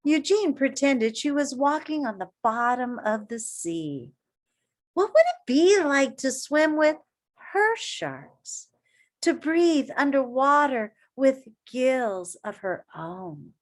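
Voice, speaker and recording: Expressive woman reading aloud, slow and lively. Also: high-pitched. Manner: expressive, addresses young listeners with questions. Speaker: elementary school teacher reading aloud. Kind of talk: picture-book read-aloud for children with asides and questions.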